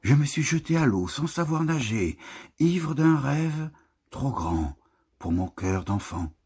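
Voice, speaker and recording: A man with a husky, breathy voice reads poetry in a solemn, lyrical manner, lingering over the phrases of a literary text. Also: medium pitch, sing-song, takes it slowly, darkish tone. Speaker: poetry reader. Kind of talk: literary poetry recitation, scripted narration.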